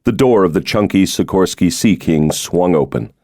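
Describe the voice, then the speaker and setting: A man narrates a suspense novel in a low voice. His pitch moves widely as he reads the scripted prose, and the recording is dry and close, with no room sound. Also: expressive, moderate pace, darkish tone, no echo. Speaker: audiobook narrator.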